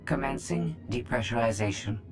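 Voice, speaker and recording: A woman voicing a cold, calculating female droid with formal, measured, emotionless delivery in a video game. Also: extremely low pitch, expressive, faint music behind. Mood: procedural. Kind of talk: video game cutscene acting.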